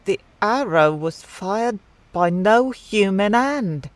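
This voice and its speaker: A formal female reader of grim news played by a woman in a vintage radio play. She speaks slowly and dramatically at a middling pitch. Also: animated. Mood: formal, dramatic. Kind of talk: old-time radio drama acting.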